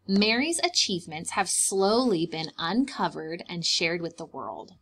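Animated woman reading slowly to young children. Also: mid-range voice. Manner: animated, simple explanations addressed to young children. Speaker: preschool teacher reading aloud to children. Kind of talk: expressive children's picture-book read-aloud.

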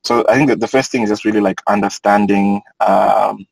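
Low gravelly man on a video call. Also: brisk, no room sound, rough recording. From Kenya. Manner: relaxed, thoughtful, many fillers. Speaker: panelist. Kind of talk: online panel discussion. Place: inside a parked car.

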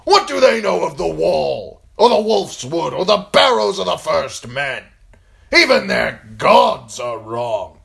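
A man voicing a huge, fierce, defiant northern lord in a fantasy audiobook, shouting thunderously and scornfully. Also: a little high, very animated, slow, creaky, voice pushed up, full character voice. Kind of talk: audiobook fantasy character acting.

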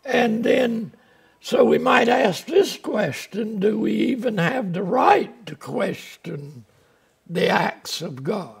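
A man's voice: high-pitched, expressive, slow pace, gravelly, husky. An older man preaching a folksy, plainspoken sermon from the pulpit of a small church, speaking through a lapel mic. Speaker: pastor.

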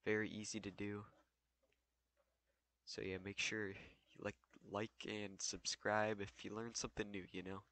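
A man's voice: lowish voice, level tone, a little slow, a bit bright. A young man casually walking through a game tutorial while he plays, loose and full of fillers. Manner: loose, filler-heavy, thinking aloud while playing. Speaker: gaming tutorial creator. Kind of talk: casual gameplay walkthrough.

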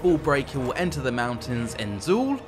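A man's voice: medium pitch, sing-song, moderate pace. A man giving playful, wry commentary on a strategy game as he plays. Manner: wry, tongue-in-cheek. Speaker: gameplay commentator. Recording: voice-over with background music. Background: music.

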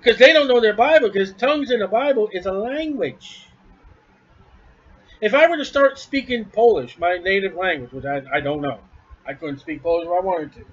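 A man's voice: sits high, a bit bright, husky, dull audio. A man preaching a sermon from home, blunt, scornful and emphatic.

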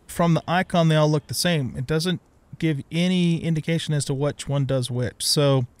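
Man with a creaky voice, casual gaming commentary. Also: on the high side, dry recording. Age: middle-aged. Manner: thinking aloud, muttering to himself. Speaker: let's play host. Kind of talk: casual video game commentary.

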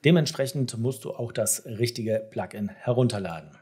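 Male tutorial host, forties, mid-pitched and a little rough-edged, direct and instructive, explaining step by step. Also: normal speed, darkish tone. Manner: direct, instructive, addressing the viewer. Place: small home studio room, indoors.

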